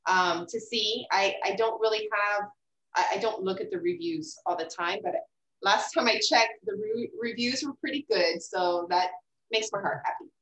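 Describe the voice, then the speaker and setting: A woman's voice: medium pitch, normal speed, a bit bright, echoey room, rough recording. A woman author who talks about her writing in a casual interview, hesitant and full of fillers and repeated words. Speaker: guest author.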